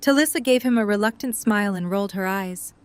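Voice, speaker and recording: A woman serves as narrator, reading a scripted story. Her voice is of middle pitch, delivering third-person prose for an audio drama. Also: fast talker.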